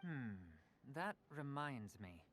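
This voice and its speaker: An actor playing a persuasive, smooth-talking male merchant in a game cutscene. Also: mid-range voice, sing-song, slow pace. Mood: genial. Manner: persuasive, salesman patter. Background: game music.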